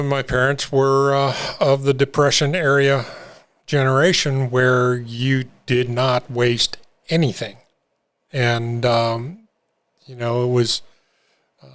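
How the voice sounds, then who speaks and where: An older man telling his own recovery story in an interview, talking haltingly with many fillers and restarts. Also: medium pitch. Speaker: interview guest. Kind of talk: reflective personal story.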